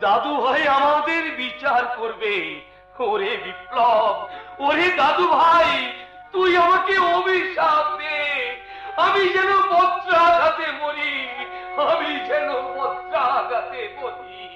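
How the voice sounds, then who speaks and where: Torn old rich grandpa on stage, halting dramatic delivery, echoey dull recording with music. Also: male voice, very high-pitched. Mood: anguished. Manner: halting, declamatory, wailing repetitions. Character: proud, anguished upper-class grandfather torn by guilt. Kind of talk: Bengali jatra folk theatre melodrama.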